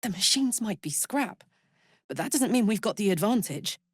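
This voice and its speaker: An actress playing a brash, warm-hearted warrior woman in a video game, with an earnest, salty, blunt manner. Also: medium pitch, lively intonation, moderate pace, slightly rough, no echo, clean studio sound. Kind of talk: video game character voice lines.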